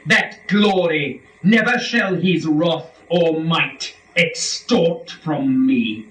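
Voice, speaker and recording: A man's voice: fairly high pitch, very slow. A man playing a proud, defiant fallen angel who leads hell, declaiming epic verse in a grand dramatic reading. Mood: proud, vengeful. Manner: declamatory, grand, swelling from hushed to shouted. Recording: roomy, distant mic, faint noise.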